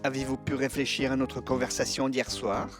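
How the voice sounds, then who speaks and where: An actor playing a sardonic, resentful servant who speaks with dry sarcasm in a radio play. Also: male voice, mid-range voice, little pitch movement, normal speed, soft background music, lightly acted. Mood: sarcastic.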